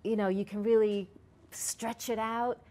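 A middle-aged woman hosting an interview show, asking questions eagerly with quick restarts, recorded on a lapel mic in a small studio. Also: medium pitch, little pitch movement, mellow. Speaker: talk show host. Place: small studio set, indoors.

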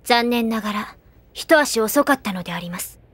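A woman voicing a stiff, solemn woman with deadpan, very formal speech in an anime audio drama. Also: a little high, normal speed, slightly rough, no room sound.

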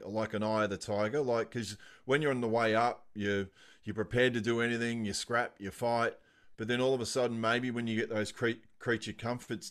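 A middle-aged man asking rambling, informal questions as an interviewer. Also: fairly low pitch. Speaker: interviewer, host. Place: indoor home room with shelves, on a video call. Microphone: video call microphone.

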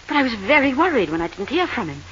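A woman's voice: fairly high pitch, very animated, fast talker, fairly bright tone. Worried secretary woman, muffled radio. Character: worried, earnest female office secretary. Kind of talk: 1940s radio acting. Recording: dull, hissy 1940s radio broadcast.

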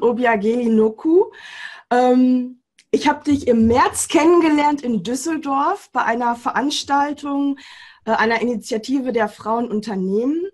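Breathy upbeat woman hosting a video chat. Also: on the high side. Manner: warm enthusiastic with many fillers. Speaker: interview host, mindset coach. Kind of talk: video call interview. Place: home room with bookshelf.

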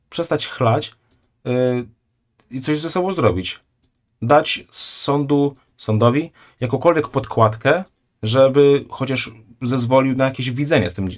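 A man in his thirties, a live streamer reacting to a video in a blunt, indignant way, often sinking to a quiet, disgusted tone. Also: mid-range voice.

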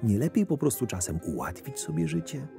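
A man playing a shrewd, sardonic right-hand man to a camp boss, narrating a fantasy audio drama in a measured way. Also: fairly low pitch, very animated, gravelly, dark tone. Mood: hushed, persuasive. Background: music.

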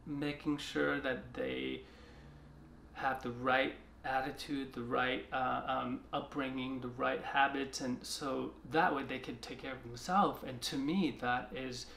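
Man, 40s, from China, mid pitch with a gravelly edge, gentle halting delivery, roomy room sound. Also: flat delivery, slight background noise. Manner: soft-spoken, halting with frequent restarts. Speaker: artist. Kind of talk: reflective interview talk. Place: art studio, indoors. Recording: roomy studio sound with music behind.

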